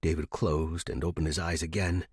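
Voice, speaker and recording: A man's voice: extremely low pitch, flat delivery, normal speed, a little mellow, husky, no room sound. Man narrating fiction, dry close sound.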